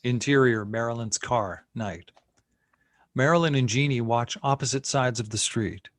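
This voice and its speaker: A man's voice: mid-range voice, moderate pace, no echo, clean studio sound. A man reading scene headings and stage directions as narrator at a screenplay table read.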